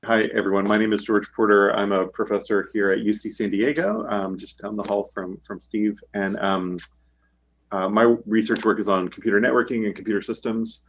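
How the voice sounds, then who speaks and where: Low-voiced man on a dull call. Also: moderate pace. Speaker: professor, panelist. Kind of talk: panel introduction. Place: office over video call. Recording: dull lo-fi with faint hum.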